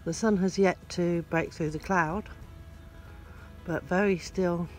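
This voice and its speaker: A woman's voice: fairly low pitch, fairly even intonation, slow pace, soft background music. A woman casually telling about a remote restaurant and a still anchorage while aboard a boat, chatty with many fillers. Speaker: sailing vlogger. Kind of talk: casual travel narration.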